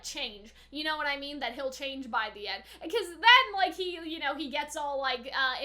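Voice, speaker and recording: A young woman with a notably high, bright voice talks through her reactions as a reviewer. She is chatty and slangy, rambling casually and rewording her thoughts with a giggly manner.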